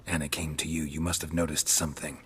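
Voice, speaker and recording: A very deep, dry-voiced monster hunter firing off curt, doubting questions in a fantasy video game. Under the low pitch his tone is bright and edged, with a faint hiss of noise behind it. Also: little pitch movement, fast. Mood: skeptical. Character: gruff, skeptical male monster hunter. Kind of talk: video game cutscene acting.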